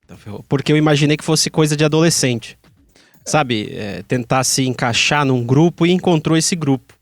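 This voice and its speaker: A man's voice: fairly high pitch, fairly quick, dry recording, faint music behind. A Brazilian man chatting informally and raising questions as a podcast panelist. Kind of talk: casual chat.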